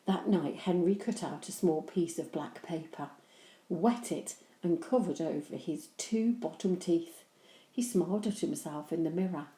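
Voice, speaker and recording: A woman reading a children's story aloud with animated, deliberate, expressive narration. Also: on the low side. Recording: echoey room.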